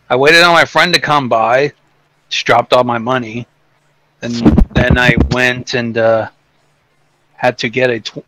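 Bright-voiced sweary man on a noisy mic. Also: medium pitch. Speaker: guest in a group voice chat. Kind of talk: casual chat.

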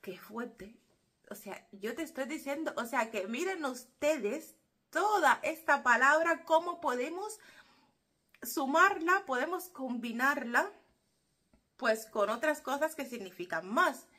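Cuban lady vlogging from her room, talks with her hands, laughs a lot, kinda high voice. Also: takes it slowly. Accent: Cuban Spanish. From Cuba. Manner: animated, gestures a lot, laughs easily. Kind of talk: casual explanatory vlog. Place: small room indoors, seated in armchair. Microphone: camera in front, medium close.

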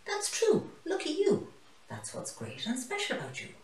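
Friendly lioness for a picture book, female reader. Encouraging, highly animated, recorded a little way from the mic. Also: normal speed. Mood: delighted. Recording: roomy, mic some distance away.

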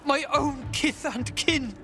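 A man's voice: very high-pitched, takes it slowly, bright, forceful tone, exaggerated voice. A man voicing a melodramatic, self-pitying storyteller in a video game, theatrical and lamenting. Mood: dramatic lament. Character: melodramatic, self-pitying male storyteller, wronged by his kin.

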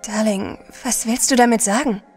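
A woman acts a shrewd, inquisitive wife in an audio drama. Her voice is fairly high and earnest, with a long reverb and faint music. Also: moderate pace, close to an everyday voice. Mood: puzzled.

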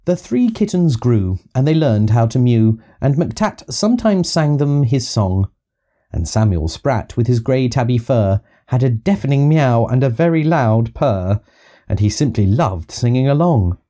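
A man's voice: mid-range voice, big pitch swings, a little slow, dark tone. A man narrating a children's audiobook, reading rhyming verse rhythmically and expressively. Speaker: storyteller reading to young children.

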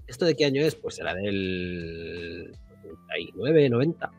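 A man from a Spanish podcast with a Spain accent and a fairly low voice. He hosts casual chat about old games in a rambling, jokey, colloquial manner, with faint music playing behind him. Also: sing-song, measured pace, a little mellow. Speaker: podcast host.

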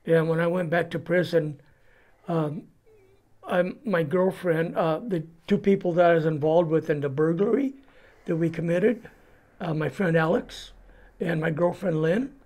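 Older Californian man, slightly high voice. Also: a little slow, a little mellow. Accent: Californian American. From California, United States. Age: 70s. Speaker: interview guest giving testimony. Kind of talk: personal life story in an interview. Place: indoors before a cloth backdrop.

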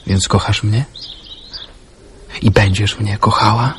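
An actor playing an earnest wartime suitor in a radio drama, hesitant and husky. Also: male voice, fairly low pitch, fairly even intonation, takes it slowly, slight background noise. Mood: tender, teasing. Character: earnest, teasing man in love, wartime suitor.

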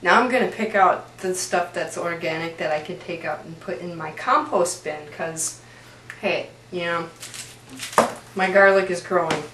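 A woman's voice: lowish voice, measured pace. A young woman talking casually while she cooks at home, joking to the camera. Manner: chatty, joking asides to the camera. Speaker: home cooking vlogger. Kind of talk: casual cooking demo. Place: home kitchen, indoors. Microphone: camera mic from a few feet away. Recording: echoey kitchen, distant camera mic, noisy.

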